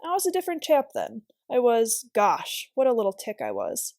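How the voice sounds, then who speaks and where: Lady doing a storybook schoolboy, earnest and a bit preachy, pitch jumping around. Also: huge pitch swings, normal speed, a little mellow, no echo, studio quality. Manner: earnest, old-fashioned schoolboy phrasing. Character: earnest, defensive English schoolboy, rather lecturing.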